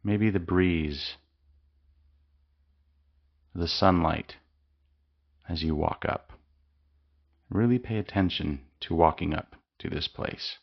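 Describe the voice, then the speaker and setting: A man leading a guided mindfulness meditation with slow, calm, soothing instructions and long pauses. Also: deep voice, vocal fry, highs cut off.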